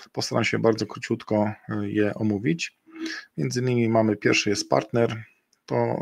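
A middle-aged man presents a webinar on a video call, in a fairly low and quite flat voice. His delivery is halting and full of fillers and restarts. The sound is dry and close. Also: creaky, no room sound. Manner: hesitant, many fillers and self-corrections. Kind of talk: screen-share webinar presentation. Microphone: webcam and computer mic on a video call.

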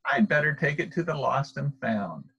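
Man reading as a slightly husky, practical zookeeper. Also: a little high, big pitch swings, normal speed, a bit bright, lo-fi sound, exaggerated voice. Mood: puzzled. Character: practical, helpful adult male zookeeper.

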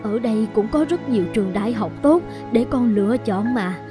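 A woman playing a gentle, anxious, devoted middle-aged mother in an animated fairy tale, with a coaxing, worried manner. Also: a little high, a little fast, over music, lightly acted.

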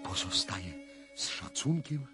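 An actor playing a self-assured, probing clairvoyant investigator in a radio drama, speaking in a formal, deliberate and emphatic husky voice. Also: male voice, medium pitch, huge pitch swings, sharp tone, faint music behind, heavily acted. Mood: formal, convinced.

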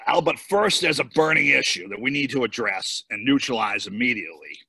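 Man as slick campaign adviser, businesslike, raspy, very bright and forceful, cartoon table read. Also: mid-range voice, animated, brisk, no room sound, exaggerated voice. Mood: brisk. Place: indoors on a home video call.

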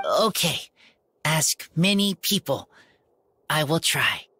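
Husky, halting wild boy. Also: sits low, very animated, bright, forceful tone, exaggerated voice. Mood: earnest. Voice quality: husky, breathy. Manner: halting, terse broken sentences. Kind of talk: video game character acting.

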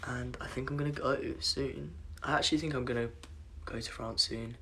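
A young man with a croaky voice chatting casually on a phone livestream from his bedroom at night, reading comments aloud and apologizing often. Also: medium pitch, fairly even intonation, fairly quick, sharp tone, slight background noise. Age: young adult. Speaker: livestream host. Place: dim bedroom at night, indoors. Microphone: phone camera at arm's length.

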